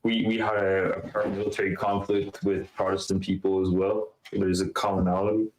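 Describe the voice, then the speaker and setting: An Irish man with a very deep, flat voice talks in a loose, rambling way, leaning on "like" and "uh" as he builds his point. His voice carries a roomy echo, as if he is recorded in a bare space. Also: brisk. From Ireland. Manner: rambling, heavy filler words. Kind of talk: casual online debate chat. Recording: roomy echoey sound.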